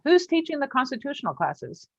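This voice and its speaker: A woman's voice: high-pitched, big pitch swings, brisk. A woman with an American accent hosting a casual live online chat, teasing and playful as she interviews. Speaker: host of a live online show. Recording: dull, lo-fi online call audio.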